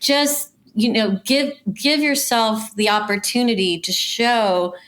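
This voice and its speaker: A woman's voice: on the high side, slow, a bit bright. A woman speaking conversationally as a casting director in an interview, explaining things and offering practical advice.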